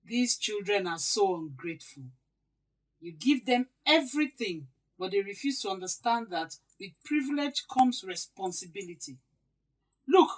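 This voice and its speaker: A woman's voice: a little high, natural voice, rough recording. A woman with a Nigerian accent playing a domineering middle-aged stepmother, sarcastic and nagging in an audio drama. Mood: exasperated, scolding.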